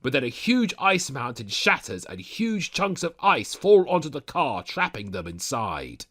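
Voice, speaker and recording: A man's voice: medium pitch, big pitch swings, unhurried, sharp tone. A man narrating a scripted comic story recap, dropping sardonic asides to the listener. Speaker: narrator of a fan audio story.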